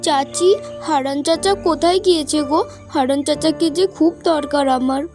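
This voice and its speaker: Woman doing a very high stubborn village girl, earnest cartoon big sister, music behind her. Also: fairly even intonation, normal speed, close to an everyday voice. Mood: pleading, determined. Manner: insistent, earnest. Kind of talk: cartoon voice acting, dramatic dialogue.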